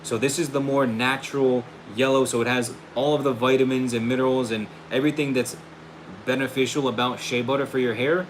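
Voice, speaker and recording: A man hosting a casual livestream and pitching his own hair product, upbeat and friendly, saying he is losing his voice. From United States. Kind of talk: casual livestream chat, reading viewer questions. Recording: steady air conditioning hum behind.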